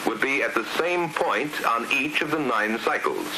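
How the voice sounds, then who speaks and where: A man's voice: mid-range voice, moderate pace. A man with an American accent narrating a scripted 1950s instructional film in a formal, precise, didactic manner. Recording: hissy 1950s film soundtrack. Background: steady hiss.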